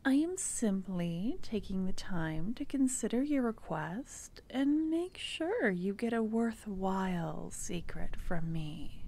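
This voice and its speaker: A woman playing a young female court necromancer who is tenderly affectionate and playful with her lover, speaking softly and often in a whisper for an ASMR roleplay. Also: mid-range voice. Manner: soft, intimate, often whispered.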